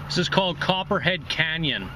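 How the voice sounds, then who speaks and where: A man's voice: on the high side, lively intonation, normal speed, background noise. A man hosting a reading series, narrating a literary short story aloud.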